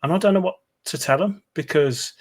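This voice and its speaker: A man's voice: fairly high pitch, a little fast. A man from northern England with a Birmingham accent, co-hosting a casual football chat in a chatty, hesitant way. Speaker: football podcast co-host.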